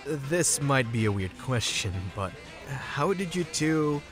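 A man playing an excitable, well-meaning 1950s teenage gang boy, earnest and awkward, in a comic dub. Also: mid-range voice, takes it slowly. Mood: thoughtful. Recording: music behind the voice.

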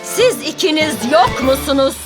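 A woman's voice: high-pitched, lively intonation, moderate pace, music in the background. A woman voicing a patient but stern teacher vehicle in a children's cartoon dub, motherly and instructive. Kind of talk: animated children's series dub.

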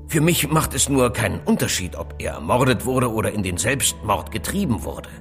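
An actor playing an evasive, cautious phone inventor in an audio drama, speaking politely and deliberately, with rhetorical questions. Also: male voice, on the low side, very animated, soft background music. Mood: cryptic, reflective. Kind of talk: German audio drama dialogue acting.

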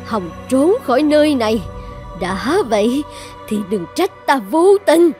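A woman playing a proud dragon goddess in a dubbed fairy-tale cartoon, with theatrical, expressive delivery. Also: high voice, measured pace, faint music behind. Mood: betrayed, vengeful. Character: proud dragon goddess, devoted wife turned vengeful.

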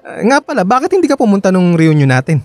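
A forward young Filipino ex-boyfriend in a radio drama. His pitch swings widely, and the sound is close and dry. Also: sing-song, quick pace, a little mellow, no room sound. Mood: surprised, friendly. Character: eager, familiar ex-boyfriend, young man.

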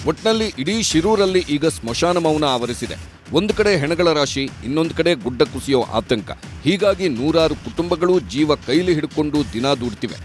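Man, fairly high voice, dramatic news reporter, scripted voice-over, music bed. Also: lively intonation, a little fast.

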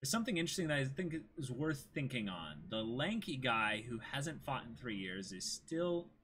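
A man in his twenties with a bright, forceful voice and lively, swinging intonation. He co-hosts a livestream, moving between casual chat and reading out comments with animated delivery. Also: medium pitch, normal speed, a little hiss or hum. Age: 20s. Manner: animated, exclaiming thanks to viewers. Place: small indoor room with a backdrop.

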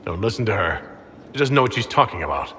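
An actor playing an earnest, steadfast leader in a fantasy game, speaking in a calm, measured way. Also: male voice, on the low side. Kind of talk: video game cutscene dialogue. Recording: long reverb, music behind.

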